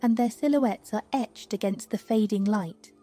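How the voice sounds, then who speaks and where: A woman reading a scripted guided sleep meditation in a slow, soothing, hushed manner. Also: medium pitch. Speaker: meditation narrator. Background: music.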